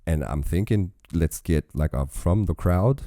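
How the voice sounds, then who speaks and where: Reaction host, forties, man: rumbling bass voice, dark tone, dry close sound, chatty and meandering. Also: unhurried, no room sound. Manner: musing, rambling aloud with frequent restarts. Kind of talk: casual reaction commentary.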